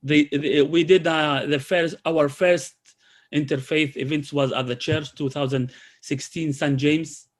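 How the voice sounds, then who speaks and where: A man from Israel who speaks with a halting manner, with many restarts and fillers, presenting his community work in a video call. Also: fairly high pitch, fairly even intonation. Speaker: community program coordinator.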